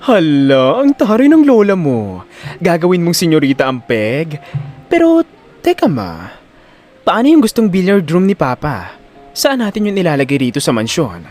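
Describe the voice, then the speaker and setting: A man's voice: on the high side, wildly swooping pitch, normal speed, darkish tone, soft background music. A man voicing a greedy, flamboyant young daughter in an audio drama, with sassy slang and theatrical exclamations. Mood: gleeful scheming. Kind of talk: audio drama character acting.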